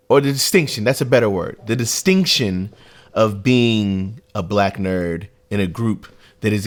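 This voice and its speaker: An American man co-hosting a casual podcast, talking reflectively and hesitantly, with many fillers. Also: fairly low pitch. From United States. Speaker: podcast co-host. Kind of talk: casual discussion.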